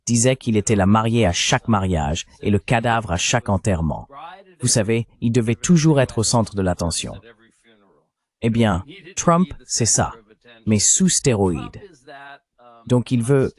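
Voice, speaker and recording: A man's voice: on the low side, a little mellow. A man's AI dubbing voice giving a psychologist's answers in an interview, as a translated voice-over.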